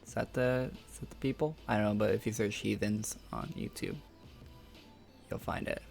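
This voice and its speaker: A man's voice: lowish voice, steady tone, moderate pace, gravelly, a little mellow, soft background music. A young man casually chatting on a game stream, answering viewer questions in a laid-back, rambling way and laughing often.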